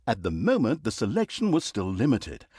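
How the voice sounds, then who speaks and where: A man's voice: lowish voice, wildly swooping pitch, normal speed, a little mellow, breathy edge, no echo, studio quality, heavily acted. A man playing a snarky, self-aware storyteller in a fantasy video game, narrating with pompous, mock-grandiose flourish and wry asides. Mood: wry, mock-grand.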